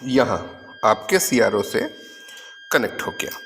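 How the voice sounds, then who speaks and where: A man's voice: fairly low pitch, animated, unhurried, creaky, fairly bright tone, faint music behind. A man giving a step-by-step lab demonstration as a physics teacher, instructing and pointing things out as he goes. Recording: echoey room, noisy with hum.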